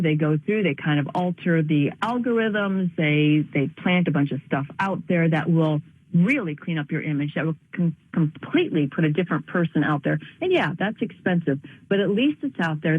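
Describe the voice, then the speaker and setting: A woman's voice: fairly low pitch, husky, dry recording. An earnest woman speaks as a guest over a narrow, tinny telephone line. She sounds reflective and sincere, often restarting mid-phrase as she works her thoughts out. The sound is dry, with no room around it. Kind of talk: radio interview by phone.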